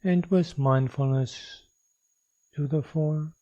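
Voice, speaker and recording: A man leading a guided meditation as a teacher, speaking in a measured, instructional way with long pauses. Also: fairly high pitch, steady tone, dark tone, lo-fi sound.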